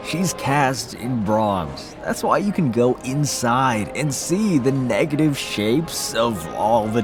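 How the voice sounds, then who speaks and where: Game's drunk, broke, teasing brother: male, very animated intonation, slow theatrical drawl, music underneath. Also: mid-range voice. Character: cheeky, tipsy, teasing brother, broke and carefree. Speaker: video game supporting character. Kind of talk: scripted visual novel voice acting.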